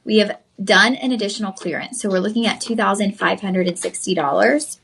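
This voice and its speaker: A woman's voice: medium pitch, a little fast, gravelly, fairly bright tone, slight background noise, low-quality recording. A young woman, hosting a live shopping stream, effusive and chatty, calling viewers y'all. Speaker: shopping channel host. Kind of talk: live-stream sales pitch.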